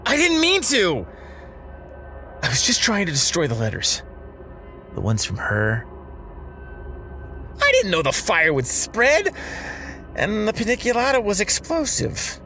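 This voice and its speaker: A man playing a frightened, guilt-ridden student in an audio drama, pleading in a halting way. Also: sits high, huge pitch swings, pushed and bright, faint music behind, heavily acted. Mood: panicked, pleading.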